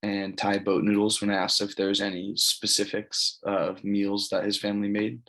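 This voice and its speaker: A man's voice: lowish voice, completely flat delivery, moderate pace, a bit bright. A man, giving an informal spoken presentation in a plain, matter-of-fact, casual way. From California, United States. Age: early 20s. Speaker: college student presenting an assignment.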